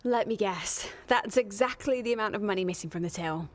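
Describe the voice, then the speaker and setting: A woman's voice: medium pitch, a little fast, close to an everyday voice. An actress playing a tactful, reassuring woman confidante in a radio soap.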